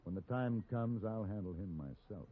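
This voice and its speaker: An actor playing a suave, formal gentleman gunfighter in a 1950s radio drama, speaking in polished, dry diction. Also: fairly low pitch, expressive, moderate pace, phone-quality sound, close to an everyday voice. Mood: dry, lecturing. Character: suave, lecturing gentleman gunfighter, adult man. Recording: hissy old radio broadcast.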